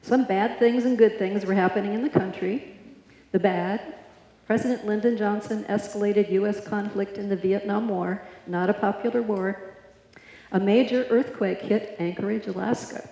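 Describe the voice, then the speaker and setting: A woman's voice: medium pitch, fairly even intonation, normal speed, warm tone. A woman giving scripted narration as a performer in a live stage show. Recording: echoey hall, live stage.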